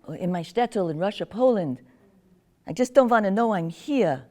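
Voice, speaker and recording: A woman's voice: medium pitch, animated, moderate pace, a little mellow, lightly acted. Lady doing an old granny in a nursing home, teasing, chatty, voice goes up and down a lot. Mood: confused, haunted. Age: older. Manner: gossipy, teasing, drifting into confusion. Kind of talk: one-woman stage monologue.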